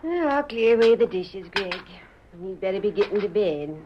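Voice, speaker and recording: An actress playing a gentle, anxious frontier wife in an old radio drama. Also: female voice, mid-range voice, expressive, normal speed, a little hiss or hum, lightly acted. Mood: worried. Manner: anxious, pleading. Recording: muffled old radio recording.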